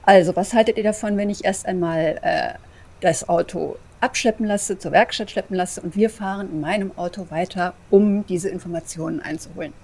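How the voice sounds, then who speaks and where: A woman's voice: on the low side, moderate pace, darkish tone, husky. A woman playing a composed, diplomatic female vampire in an audio drama, polite, articulate and businesslike. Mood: brisk. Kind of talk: German audio drama acting.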